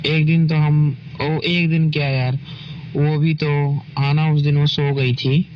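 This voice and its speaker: A man's voice: fairly high pitch, flat delivery, takes it slowly. Man chatting on a noisy phone call. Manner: often ends remarks seeking agreement. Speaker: partner in a private couple's phone call. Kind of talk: casual intimate phone chat.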